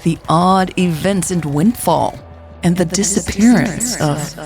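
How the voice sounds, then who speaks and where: Woman voicing news show presenter, female, polished, formal, low register, slight gravel, audio drama. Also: slow pace, a little mellow, soft background music. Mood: formal, brisk.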